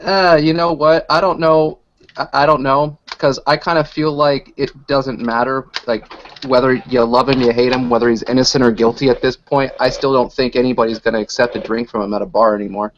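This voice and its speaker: A man's voice: medium pitch, steady tone, fairly quick, slight background noise. A man co-hosting a radio show in casual, joking chat over an online call. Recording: dull lo-fi online call audio.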